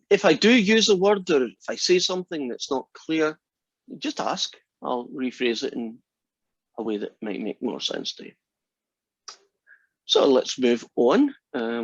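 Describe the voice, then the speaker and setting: A man's voice: mid-range voice, husky, dry recording. Teasing Scottish man teaching math. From Scotland. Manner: teasing, joking. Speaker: math instructor. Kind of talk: class introduction. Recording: slightly dull lo-fi sound.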